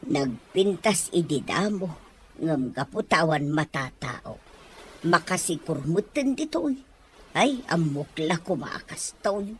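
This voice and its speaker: A woman's voice: low voice, big pitch swings, slow, slight background noise, exaggerated voice. A woman with an Ilocano accent playing a weary, worried household helper in a radio drama. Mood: resigned.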